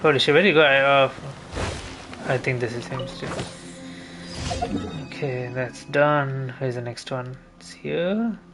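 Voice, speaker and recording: A man gives casual, rambling commentary while he plays a video game. His voice sits at a middling pitch, and he thinks aloud with hesitant, looping phrases. Game music plays clearly behind him. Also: unhurried, pauses often. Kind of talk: casual gameplay commentary, chatting while playing.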